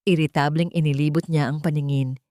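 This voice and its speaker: Low-voiced Filipino woman narrating a dramatic story. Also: steady tone, brisk, warm tone, no echo, studio quality.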